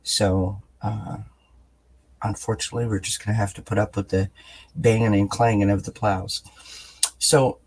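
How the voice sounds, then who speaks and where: A man with a raspy, just-woken-up voice, giving a gentle daily tarot reading in a soft-spoken, relaxed way with lots of fillers. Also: lowish voice, normal speed, long pauses, low-quality recording. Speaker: tarot reader. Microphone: camera mic, above-view camera.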